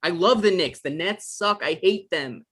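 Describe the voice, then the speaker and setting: A man's voice: high voice, animated, measured pace, fairly bright tone, breathy edge, full character voice. A man acting an anxious, easily flustered novelist in a conversational comedy script reading. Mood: exasperated. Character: anxious, defensive male novelist in his thirties.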